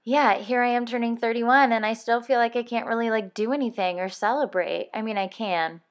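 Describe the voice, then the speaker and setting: A woman's voice: on the high side, brisk. A woman in her early thirties hosting a podcast, talking casually and rambling a little, correcting herself and laughing as she goes. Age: 30s. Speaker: podcast co-host.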